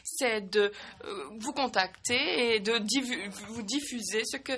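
A woman giving simultaneous interpretation at a meeting, speaking in halting bursts with frequent restarts and fillers. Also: fairly high pitch, a bit bright. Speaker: conference interpreter.

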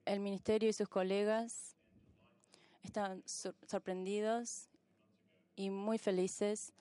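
A woman working as a simultaneous interpreter, rendering conference speeches live in halting pieces and correcting herself as she goes. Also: medium pitch, little pitch movement, warm tone, dry recording.

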